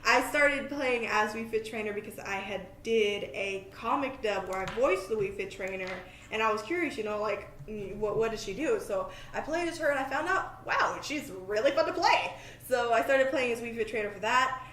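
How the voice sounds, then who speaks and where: A woman hosting a podcast in casual, chatty talk, scatterbrained, jokey and quick to poke fun at herself. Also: a little high, expressive, a bit bright. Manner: scatterbrained, self-deprecating, jokey. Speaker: podcast host. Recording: echoey room, faint background noise.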